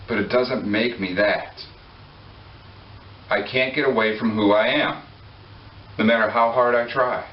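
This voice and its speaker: A man preaching a sermon in a conversational way, joking and putting questions to his listeners. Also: medium pitch. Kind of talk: sermon to a congregation. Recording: echoey room, far from mic, dull lo-fi.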